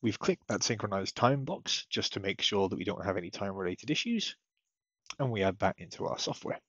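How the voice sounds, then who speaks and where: A man narrating a step-by-step software tutorial in a methodical, explanatory way. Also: lowish voice, animated, a little fast, slightly rough, no room sound, dull audio. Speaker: technical support tutorial presenter.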